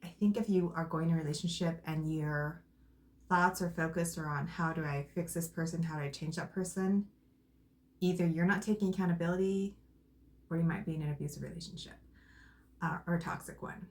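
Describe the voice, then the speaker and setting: Thoughtful woman, low voice, soft-spoken, casual talk. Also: fairly even intonation, fairly quick. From Arizona, USA. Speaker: co-host. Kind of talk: casual couple conversation.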